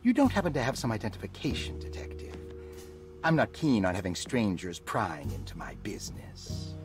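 A wary, mocking doctor. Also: male voice, on the low side, huge pitch swings, normal speed. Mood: probing. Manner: wary, probing, mildly mocking. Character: suspicious male doctor, wary and probing. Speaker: game character. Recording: game audio with music score.

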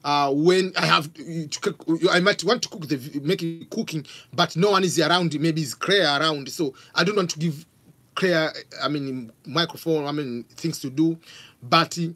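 Tanzanian man, forties, fairly high voice, animated live Q&A host, home office, studio mic. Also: moderate pace, breathy edge. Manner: animated, gestures a lot, reads out questions. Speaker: host answering viewer questions. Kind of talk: live Q&A stream. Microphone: studio mic on stand with pop filter.

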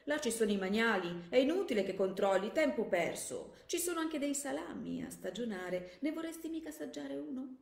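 A woman's voice: a little high, lively intonation, a little fast, gravelly, hardly any pauses, voice pushed up. A woman voicing a wily, hospitable countryman in a story, casual and ingratiating. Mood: casually deflecting. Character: wily, hospitable countryman deflecting soldiers' searches. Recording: echoey room.

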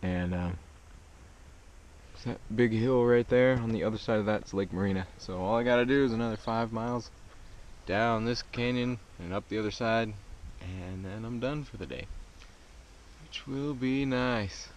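A man's voice: on the low side, normal speed. A young man vlogging on a hiking trail, easygoing and joking at himself, into a camera held at arm's length. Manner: easygoing, self-deprecating, laughs at himself. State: winded on climbs. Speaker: thru-hiker vlogging. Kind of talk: casual vlog to camera. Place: outdoors on a mountain trail. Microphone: action camera held at arm's length. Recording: outdoor camera mic with background noise.

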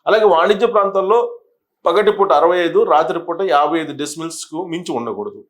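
A man's voice: fairly high pitch, brisk. A man giving explanatory news commentary, partly reading aloud, addressing viewers didactically and sometimes stumbling over and repeating words.

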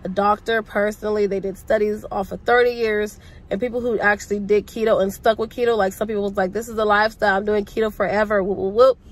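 A woman's voice: mid-range voice, fast. A young woman with a congested, sick-sounding voice, chatting to her phone camera from inside a parked car in a rambling, casual vlog. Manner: chatty, rambling, lively slang. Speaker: vlogger. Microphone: phone camera at arm's length.

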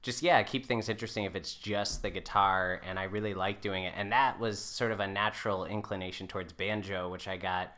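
A man's voice: on the low side, fairly even intonation, fairly quick, few pauses, sharp tone. A man in his thirties chatting casually as a musician guest, informal and self-deprecating. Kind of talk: casual chat.

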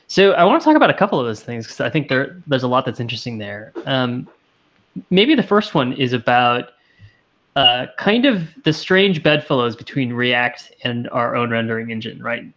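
A man with a slightly congested, sniffly voice talks shop over a video call, his headset audio a bit dull and lo-fi. He thinks out loud, trailing off and restarting sentences as he works through ideas. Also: medium pitch, sing-song, moderate pace. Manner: thoughtful, hesitant, many restarts. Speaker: co-host of a game dev stream. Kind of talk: casual technical chat.

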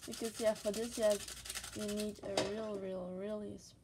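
A woman with a Dutch accent, a native Dutch speaker, giving a casual drawing tutorial as she works, soft-spoken and hesitant, searching for words between many fillers. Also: mid-range voice, little pitch movement, normal speed, noisy background. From Netherlands. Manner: soft-spoken, often drops to a whisper. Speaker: art tutor. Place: desk, indoors.

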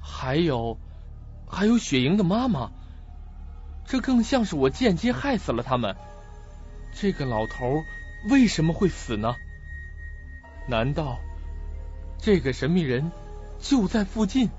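A man's voice: a little high, very animated, a little slow, pauses often, a little mellow. An actor playing a troubled, protective young male student in an audio drama, on a lo-fi recording. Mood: troubled, brooding. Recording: dull lo-fi recording with music.

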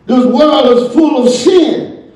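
A middle-aged man preaching a sermon from a church pulpit into a handheld microphone, punctuating every phrase with "uh" and "praise the name of God," often shouting. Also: very high-pitched, takes it slowly. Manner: shouting, gesturing with raised hand. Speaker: pastor. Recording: very echoey church hall, from a distance.

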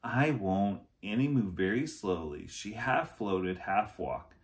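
A man in his forties narrates a children's chapter book. He takes his time, with a slightly low voice that swings expressively through the sentences. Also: big pitch swings, measured pace. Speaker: read-aloud storyteller. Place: small furnished room at home, indoors.

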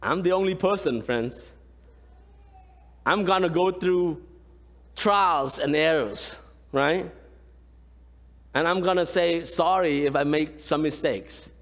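A man in his thirties, a pastor from Cambodia with a Khmer accent, preaching a lively sermon and often asking the congregation "right?". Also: a little high, takes it slowly, long pauses. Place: large church sanctuary, indoors. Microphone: far camera, room pickup. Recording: long church hall reverb.

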